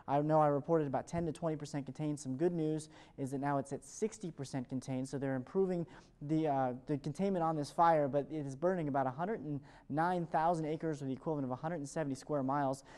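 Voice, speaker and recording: A man's voice: fairly high pitch, fast talker, a little mellow. An American man presenting a morning television weather forecast in an upbeat, fluent presenter's delivery. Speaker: television meteorologist.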